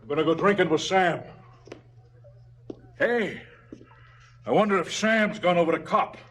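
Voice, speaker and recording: An actor playing a callous, blustering male crime boss in a stylized radio drama, slangy and falsely fatherly with a husky voice. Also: high voice, a little slow, fairly bright tone. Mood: mock-generous.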